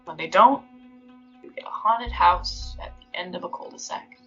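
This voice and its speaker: An actress playing a wary, brooding small-town local, narrating a horror story in a colloquial, measured, ominous way. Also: female voice, on the low side, bright, forceful tone, breathy edge. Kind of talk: scripted first-person horror narration. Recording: muffled audio under prominent music.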